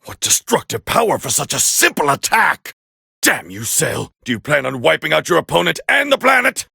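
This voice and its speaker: A proud warrior prince from an anime fighting game, growling with heavy gravel in his throat. He sounds forceful and condescending, commenting on battle in clipped, scornful phrases. The voice sits fairly high for a man and is recorded close and dry. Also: very animated, normal speed, no room sound, exaggerated voice. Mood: angry, intense.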